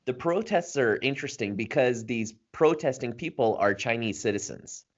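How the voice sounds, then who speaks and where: A man's voice: mid-range voice, expressive, moderate pace. A man co-hosting a podcast, explaining a news story in a casual chat with frequent ums and uhs. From Canada. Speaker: podcast co-host. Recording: dull lo-fi podcast audio.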